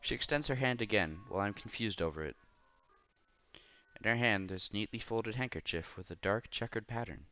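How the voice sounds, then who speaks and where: A man reading story narration aloud with expressive, theatrical reactions as he hosts a visual novel playthrough. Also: lowish voice, a little fast, no echo, dull audio, soft background music.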